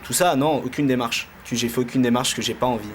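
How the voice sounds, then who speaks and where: A man's voice: mid-range voice, fast. A man giving casual, slangy answers in an interview, hesitating and repeating his phrases. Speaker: street interviewee. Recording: faint background noise.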